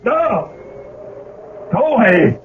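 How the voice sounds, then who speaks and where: A man's voice: high-pitched, big pitch swings, very slow, narrow, tinny audio, soft background music, heavily acted. An actor playing an agitated man who refuses all visitors in a radio drama. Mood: agitated, defiant.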